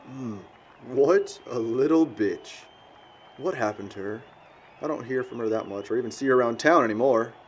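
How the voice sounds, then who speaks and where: A young American man playing a crude, mocking loudmouth among friends in an audio drama, blunt and slangy. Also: mid-range voice, normal speed, a little hiss or hum, lightly acted. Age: young adult. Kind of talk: conversational audio drama acting.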